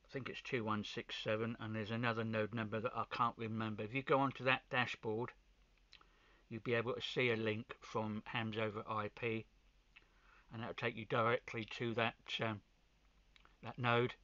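A man giving an informal hands-on video demonstration, pausing between short phrases, with muffled sound. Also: lowish voice, little pitch movement, bright, forceful tone, dry recording. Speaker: amateur radio hobbyist presenter.

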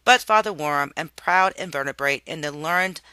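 Very bright expressive storybook reader. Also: mid-range voice, moderate pace, clear, resonant tone, no room sound. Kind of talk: expressive children's read-aloud narration.

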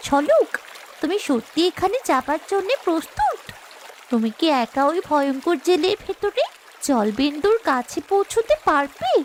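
A woman's voice: very high-pitched, very animated, normal speed, a little hiss or hum. A woman voicing a brave human child in a fairy-tale cartoon, speaking in an earnest, urgent way. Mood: hopeful, anxious.

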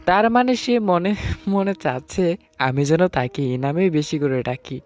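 A man's voice: on the high side, animated, measured pace. A man tells a romantic story in the first person, speaking as an affectionate, teasing husband. His voice sits over a bed of background music. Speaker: narrator and storyteller. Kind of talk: dramatized first-person love story narration. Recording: music under the voice.

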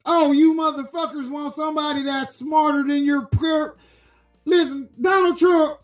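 Brief: a man voicing a foul-mouthed rural ranter, high-pitched and rambling, in a roomy echo. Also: fairly even intonation, unhurried, faint music behind. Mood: ranting. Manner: profane, rambling rant. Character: crude loudmouth rural American man voter. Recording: echoey room.

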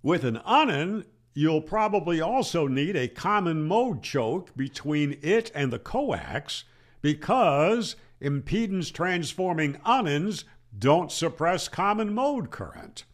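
A man over sixty giving an explanatory tutorial in an emphatic, deliberate manner, speaking into a close desk microphone. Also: fairly high pitch, expressive. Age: older, over 60. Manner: emphatic, with rhetorical questions and deliberate repeats. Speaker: amateur radio channel host. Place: small plain room indoors. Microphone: desk microphone on boom arm, close.

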